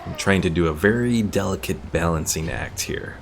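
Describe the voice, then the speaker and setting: A man's voice: low-pitched, moderate pace, gravelly, a little hiss or hum. A man casually commentating a strategy game as he plays, thinking aloud and reading game text out. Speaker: gaming streamer. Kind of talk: casual let's-play commentary.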